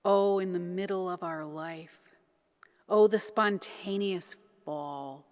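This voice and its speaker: A woman reads devotional poetry in a solemn, reverent manner, with deliberate, measured phrasing. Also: on the low side. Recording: long church-like reverberation.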